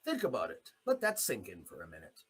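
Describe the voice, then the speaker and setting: A man's voice: deep voice, huge pitch swings, a little fast, breathy edge. A man hosting a solo commentary show, delivering a blunt, off-the-cuff opinionated monologue with free use of swearing.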